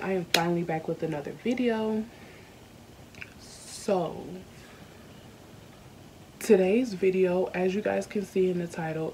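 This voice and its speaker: A young woman from the South, candidly telling a personal story on her vlog, earnest and slowed by emotion. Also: lowish voice, background noise. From Southern United States. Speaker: YouTuber. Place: indoors at home.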